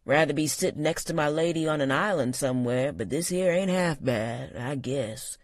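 A narrator voicing a gruff older male bartender with a raspy, growling voice and a folksy drawl. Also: mid-range voice, moderate pace, dry recording, clean studio sound, lightly acted. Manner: folksy drawl, loud and blustery.

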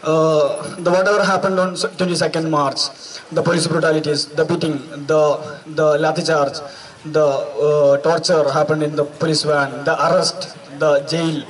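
Young male activist, Indian accent, high voice, emphatic rally speech heard from a distance over a PA, crowd around. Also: flat delivery, moderate pace. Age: 20s. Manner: emphatic, repeats phrases. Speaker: student activist speaker. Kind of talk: impassioned political speech at a rally. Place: outdoor night rally stage. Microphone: handheld microphone through PA.

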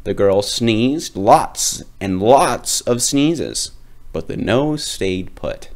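A man reading a children's picture book aloud as a storyteller, with dramatic, drawn-out emphasis. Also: on the low side, expressive, darkish tone.

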